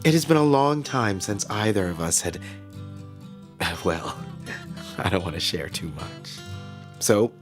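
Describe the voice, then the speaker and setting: An actor playing a gleeful, unsettlingly cheerful small-town radio host, with a theatrical, drawn-out delivery in a scripted podcast monologue. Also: male voice, fairly low pitch, animated. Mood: dreamy, gleeful. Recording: music behind the voice.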